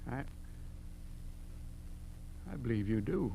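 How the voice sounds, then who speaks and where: An actor playing a nervous garage owner and reluctant crook in a 1940s radio drama, hesitant and stammering. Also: male voice, medium pitch, animated, slow, mellow. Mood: cornered, alarmed. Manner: hesitant, stammering, throat-clearing. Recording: faintly noisy 1940s radio recording.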